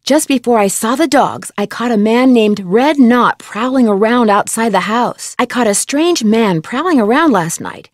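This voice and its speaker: A young American woman playing a curious, polite, plucky amateur detective in an adventure game, speaking in a clear, earnest way. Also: fairly high pitch, expressive, moderate pace, hardly any pauses, no echo, studio quality. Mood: curious, friendly. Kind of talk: scripted adventure game voice acting.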